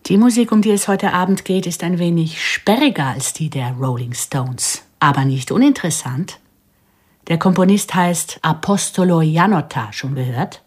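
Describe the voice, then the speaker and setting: An actress playing a cool, self-assured female contract killer in a radio play, controlled and sardonic with a husky voice. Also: on the low side, normal speed. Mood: cool, commanding. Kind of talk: radio play dialogue and inner monologue.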